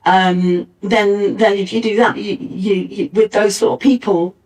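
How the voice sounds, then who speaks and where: Husky woman panellist, far from mic. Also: medium pitch, fairly even intonation, measured pace, a little mellow. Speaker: panel speaker. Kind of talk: panel discussion, informal. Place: arts centre venue, live panel. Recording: distant, muffled backup live recording.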